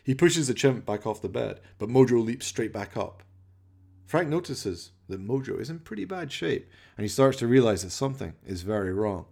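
A man with a gravelly voice narrating a dramatic scripted radio play. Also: mid-range voice, very animated, moderate pace, darkish tone.

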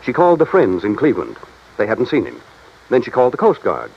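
An actor playing a matter-of-fact insurance man who explains things briskly in an old-time radio drama. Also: mid-range voice, wildly swooping pitch, a little hiss or hum. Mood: businesslike. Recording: dull vintage radio drama recording.

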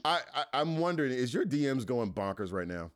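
A man hosting a podcast interview with a Philadelphia accent, chatting excitedly, laughing often and slipping into goofy voices. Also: mid-range voice, fairly quick, no room sound, studio quality. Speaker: podcast host. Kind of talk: casual interview chat.

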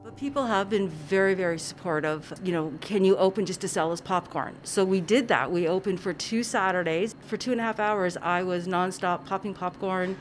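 A woman's voice: lowish voice, moderate pace, few pauses, soft background music. A woman who co-manages a cinema, chatting earnestly in an interview recorded in a theatre lobby, with many ums. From Ontario, Canada. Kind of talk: casual interview.

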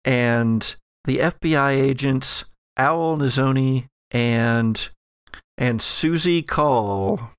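A man narrating a tabletop horror game as game master, setting scenes theatrically with deliberate pauses. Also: medium pitch, fairly bright tone, clean tone, no echo, clean studio sound. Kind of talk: narrating an actual-play horror roleplaying game.

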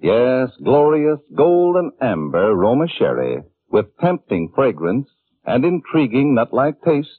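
A man's voice: mid-range voice, no room sound. A man with an American accent announcing a 1940s radio commercial in a polished, emphatic sales delivery. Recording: muffled tinny vintage radio recording.

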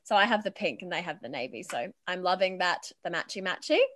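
A woman's voice: fairly low pitch, lively intonation, a little fast, fairly bright tone. A woman hosting an interview podcast, encouraging her guest and laughing often. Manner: giggly, encouraging, with frequent laughter. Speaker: podcast host.

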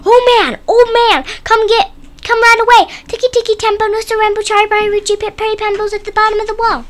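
A woman's voice: very high voice, fairly quick, fairly bright tone, pitched above the performer's own voice. A woman voicing a frantic little storybook boy, pleading breathlessly for help. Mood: desperate shouting.

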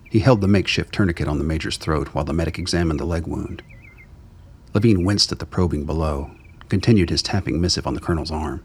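A deep-voiced man narrates a radio drama from a script. His pitch sits very low, and faint ambient noise runs behind him. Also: fast.

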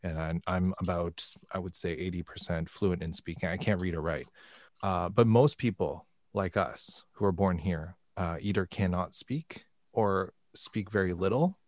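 A man's voice: low voice, normal speed, a little mellow, no echo, studio quality. A man from Canada interviewing a guest, encouraging her and asking follow-up questions. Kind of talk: casual conversational interview.

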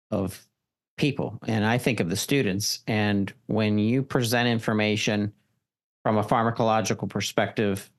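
Man, podcast host, lowish voice, long rambling questions. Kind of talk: podcast interview.

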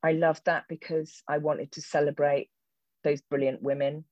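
A woman's voice: sits low, little pitch movement, normal speed, a little mellow, no room sound. A woman in her sixties, a theatre director and actor, giving thoughtful, wry answers in an interview, often restarting her sentences. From England. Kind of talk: reflective conversational answers. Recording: dull lo-fi dry recording.

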